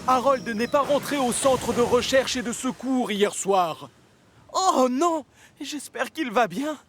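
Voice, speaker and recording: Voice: mid-range voice, lively intonation, slow. An actor voicing an earnest, touchy young male steam engine in a French cartoon, emotional and plaintive. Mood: concerned. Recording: music behind the voice.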